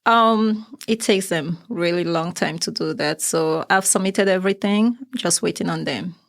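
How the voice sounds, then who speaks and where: A woman from Nigeria with a Nigerian accent, a guest giving short, soft-spoken answers in a studio interview. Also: fairly low pitch, moderate pace, vocal fry. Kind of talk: casual interview chat.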